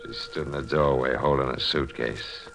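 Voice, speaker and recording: A man's voice: extremely low pitch, steady tone, moderate pace, gravelly. A man playing a devoted, earnest, plain-spoken fishing boat skipper in a 1940s radio drama. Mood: wistful. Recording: noisy old radio recording.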